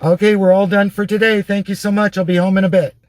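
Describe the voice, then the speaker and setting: A man making CB radio test calls with deliberate, repetitive radio-operator phrasing. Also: sits high, level tone, noisy background. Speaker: CB radio reviewer. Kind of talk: radio check test calls and product review talk.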